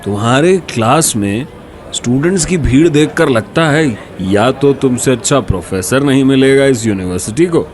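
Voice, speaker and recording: A man's voice: medium pitch, lively intonation, moderate pace, lightly acted. An actor as a frank, chummy male college dean. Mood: jovial, teasing. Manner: informal, chummy, earnest. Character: genial, frank male college dean and loyal old friend. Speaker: actor in an audio drama. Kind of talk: Hindi radio drama acting.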